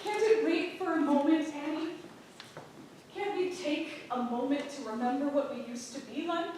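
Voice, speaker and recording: An actress playing a sharp-tongued, bitter small-town wife in a staged reading of an English period play. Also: female voice, sits high, normal speed, slight background noise, lightly acted. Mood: wistful, coaxing. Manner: sarcastic, bitter, needling. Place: indoor playhouse before an audience. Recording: very echoey theater, distant mic.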